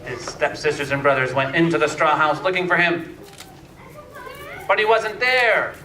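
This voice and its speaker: A man narrating a scripted fairytale slowly and deliberately, with pauses, as a storyteller. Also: fairly high pitch, sharp tone. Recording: echoey room, distant mic, faint noise.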